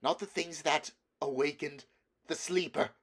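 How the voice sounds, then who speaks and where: A man voicing a calm, gentle mother who speaks soothingly and sometimes cries out in alarm, in an audiobook reading. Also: fairly high pitch, unhurried, pitched above the performer's own voice.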